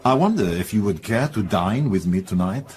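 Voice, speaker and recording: A man's voice: lowish voice, animated, darkish tone, some chatter behind, lightly acted. An actor playing a flirtatious, courtly Frenchman in a radio drama, with a French accent and formal, gallant phrasing. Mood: gallant, wistful. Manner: gallant, formal, halting search for English words.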